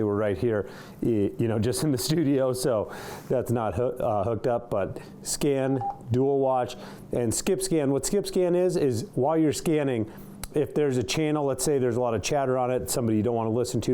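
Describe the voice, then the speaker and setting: A man's voice: mid-range voice, mellow, a little hiss or hum. A man giving a casual, unscripted product demonstration in a studio, slightly hesitant as he works through the features. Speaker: product reviewer demonstrating a CB radio. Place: indoor studio.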